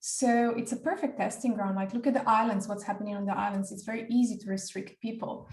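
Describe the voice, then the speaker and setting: A woman's voice: medium pitch, fairly even intonation, fast talker, a little mellow. Woman from Slovenia, echoey and distant. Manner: hesitant, many fillers and restarts. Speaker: guest. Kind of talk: casual spiritual podcast conversation.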